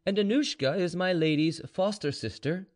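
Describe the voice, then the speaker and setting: A man's voice: sits high, normal speed, voice pushed up, lightly acted. A man voicing a mocking tavern keeper in a storybook reading, taunting and goading in an exclamatory way. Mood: needling.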